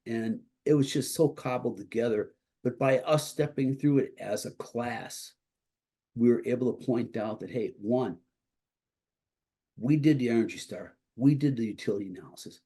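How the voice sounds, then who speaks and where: A man giving an informal instructional presentation as a college instructor, explaining haltingly with a congested, slightly husky voice that is starting to give out. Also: mid-range voice, mellow. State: congested, starting to lose his voice.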